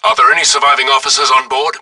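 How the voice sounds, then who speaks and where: An actor playing a cool, authoritative commander spy speaking over a distant radio-like line in a video game. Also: male voice, mid-range voice, fairly even intonation, racing, bright, forceful tone. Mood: cool, firm.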